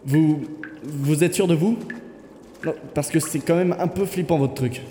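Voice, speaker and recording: Guy playing jittery doubtful companion, kinda high voice, very echoey tunnel sound, stammers a bit. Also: unhurried, mellow, close to an everyday voice. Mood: uneasy. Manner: nervous, stammering, skeptical. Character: nervous, skeptical male companion in a tunnel. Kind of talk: audio drama acting. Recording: echoey, long reverb, faint noise.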